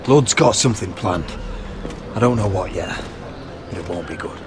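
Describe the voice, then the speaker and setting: A man's voice: sits low, animated, normal speed, background noise. A man playing a stern, wounded young lord in a dramatic fantasy game, commanding and intense. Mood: grave. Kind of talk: dramatic video game acting. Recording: loud music under the voice.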